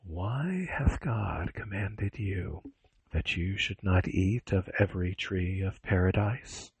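A sly serpent tempter from a dramatised scripture story. The voice is breathy and whispery, close and dry. It drags each phrase out slowly and insinuatingly. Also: male voice, low voice, lively intonation, no echo, heavily acted, poor audio. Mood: sly, tempting. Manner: slow, drawn-out, insinuating.

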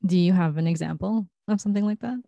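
A woman's voice: lowish voice, quick pace, vocal fry, warm tone, dry recording, muffled recording. A woman interviewing a guest, asking probing follow-up questions, often several in a row.

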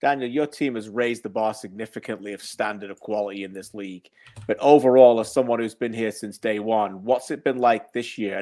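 A man hosting a soccer show, putting questions to a guest in a chatty, lively way. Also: mid-range voice. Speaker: show host. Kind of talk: broadcast interview questions.

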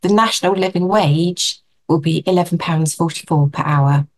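Croaky woman reading out updates. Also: fairly low pitch, normal speed. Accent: English. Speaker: practice support adviser. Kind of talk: online briefing to practice managers. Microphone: video call.

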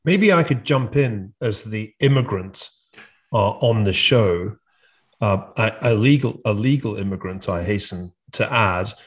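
A British man hosting a discussion, speaking in a measured way with frequent hesitations and restarts. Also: on the low side, animated. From United Kingdom. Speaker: podcast host. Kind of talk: conversational discussion.

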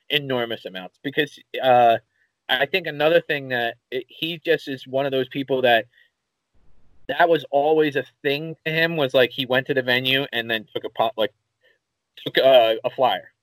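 A man with a Philadelphia accent, co-hosting a podcast in casual chat, telling rambling, animated stories and swearing casually. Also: mid-range voice, moderate pace, dry recording, rough recording. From Philadelphia area, USA. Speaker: podcast co-host.

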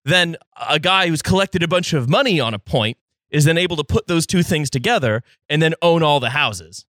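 A man co-hosting a casual podcast, explaining a topic in halting sentences full of restarts. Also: fairly high pitch, lively intonation, fairly bright tone, dry recording. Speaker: podcast co-host explaining the news.

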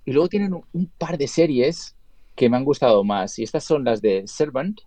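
A man's voice: medium pitch, moderate pace, mellow, dry recording. A man from Spain, a guest talking casually about his editing work, rambling with restarts and tag questions. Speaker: guest, video editor and colorist. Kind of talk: casual interview talk.

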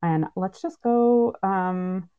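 A woman's voice: mid-range voice, drawn-out words, clean tone. A woman casually moderating a panel, speaking unscripted as she passes questions to the guests. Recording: slightly dull, muffled sound.

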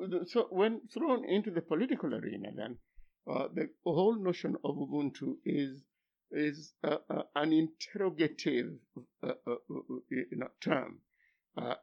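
An older man, a philosophy professor whose first language is Luo, speaking haltingly in an interview, then pressing with emphatic rhetorical questions. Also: a little high, lively intonation, gravelly, darkish tone. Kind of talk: academic interview. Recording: dull lo-fi recording.

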